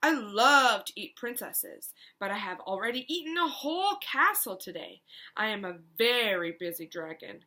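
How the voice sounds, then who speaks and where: A woman voicing a vain, self-important male dragon in a storybook, with drawn-out, showy delivery. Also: mid-range voice, big pitch swings, sharp tone. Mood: haughty, dismissive.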